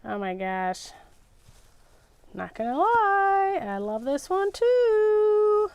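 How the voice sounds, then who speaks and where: High-voiced woman chatting through a craft livestream. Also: sing-song, drawn-out words, darkish tone, no echo, highs cut off. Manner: chatty, self-narrating, repeats words. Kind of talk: casual live craft chat, thinking aloud.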